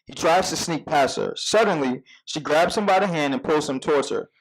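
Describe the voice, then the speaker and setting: A man reading scripted stage directions as narrator at a live table read, measured with occasional stumbles. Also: on the high side, expressive, rough recording.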